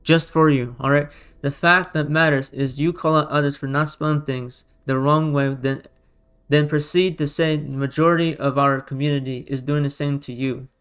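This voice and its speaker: A man's voice: a little high, fairly even intonation, moderate pace, a bit bright, smooth. A man, a non-native speaker whose first language is Romanian or Russian, delivering argumentative response commentary in an exasperated, combative way, leaning on emphatic rhetorical questions. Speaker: online video commentator.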